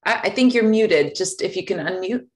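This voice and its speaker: A woman runs the seminar's questions briskly, thanking speakers and calling on the next person. She is speaking over a video call. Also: medium pitch, animated. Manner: brisk, friendly, directing turns. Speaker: moderator. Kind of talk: moderating a seminar.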